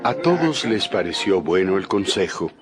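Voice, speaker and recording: A man with a low voice narrates scripture in a dramatized style. His intonation moves widely as he reads the scripted story, and music plays clearly behind him. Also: big pitch swings, normal speed.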